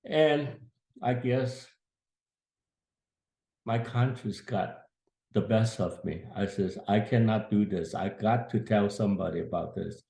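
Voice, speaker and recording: A man's voice: lowish voice, takes it slowly, gravelly, pauses often, warm tone. A man in his eighties telling a family story from his youth, plain-spoken and earnest. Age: 80s. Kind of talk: casual spoken memoir. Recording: echoey room.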